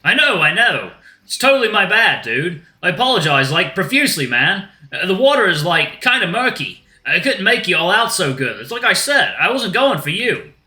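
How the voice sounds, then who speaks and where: A man's voice: sits high, very animated, moderate pace, few pauses, pushed and bright, roomy sound, voice pushed up, exaggerated voice. A man voicing a laid-back, upbeat shark who talks in slangy surfer-dude speak, in an audiobook-style story. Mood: apologetic, easygoing. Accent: put-on Australian.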